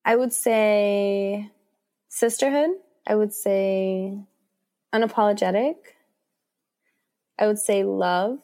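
Woman, American accent, medium pitch, reflective, conversational, thinking aloud, interviewed author. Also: steady tone, slow pace, pauses often, warm tone. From Washington DC, United States.

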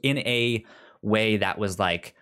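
Halting podcast co-host, mid-pitched voice. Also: fairly bright tone, clean tone. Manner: halting, repeats words while forming thoughts. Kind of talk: casual review discussion.

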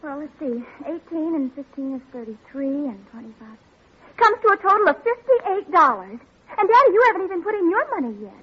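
An eager teenage daughter with a very high, girlish voice. Her delivery swings up and down in old radio sitcom style. The recording is dull and dated. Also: big pitch swings, brisk. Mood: questioning. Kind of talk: 1940s radio sitcom acting.

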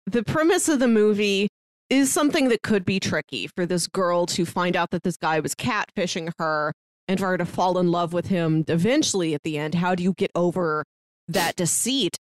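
A woman's voice: on the low side, no room sound. A woman co-hosting a casual film discussion, retelling scenes and slipping into bits of dialogue as she goes. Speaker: podcast co-host reviewing a film.

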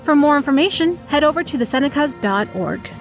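A woman announcer reading a scripted promo in a clear, upbeat way. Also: sits high, lively intonation, a little fast, faint music behind.

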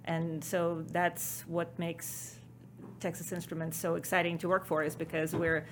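A woman from Ukraine with a Ukrainian accent, a podcast guest talking in a measured, explanatory way with frequent ums. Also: on the low side, little pitch movement, background noise. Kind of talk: interview conversation.

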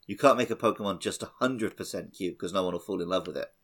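Low-pitched man chatting casually. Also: quick pace, a bit bright. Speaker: podcast co-host. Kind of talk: casual gaming podcast chat.

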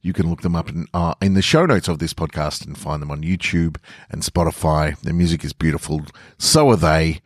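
A man's voice: rumbling low, steady tone, fairly quick, no echo, studio quality. A man hosting a podcast, speaking earnestly and gratefully to listeners in a sincere sign-off. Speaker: podcast host and story reader.

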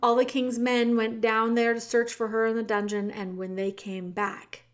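Woman playing a loud, scornful castle servant. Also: on the high side, little pitch movement, moderate pace, lightly acted. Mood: brusque. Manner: loud, emphatic, dismissive.

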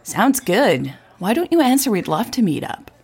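A woman's voice: mid-range voice, big pitch swings, normal speed, a little mellow, breathy edge, voice pushed up, full character voice. Theatrical, bantering woman blogger, read by a female narrator. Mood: upbeat. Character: amused, melodramatic female tech blogger, best friend.

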